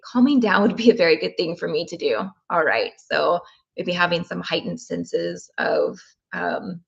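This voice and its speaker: A woman's voice: lowish voice, gravelly, dull audio. A woman from Oklahoma hosting a live tapping session, reading chat comments with frequent ums. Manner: warm, thinking aloud with frequent ums.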